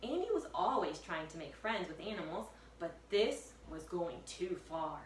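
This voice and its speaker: A woman, a first-grade teacher, reading aloud to young pupils with expressive, suspenseful storytelling. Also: on the low side, moderate pace. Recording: echoey room, distant mic, faint noise.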